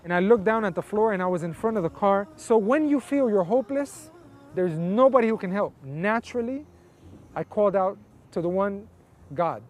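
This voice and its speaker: High-voiced man telling his life story. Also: moderate pace, darkish tone. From Colombia, raised in Houston, Texas from age eight. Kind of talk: personal testimony.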